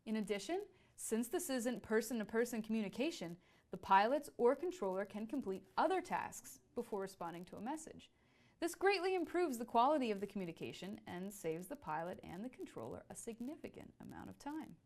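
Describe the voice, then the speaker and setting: A woman's voice: fairly high pitch, big pitch swings, brisk, darkish tone. A woman presenting a scripted educational explainer.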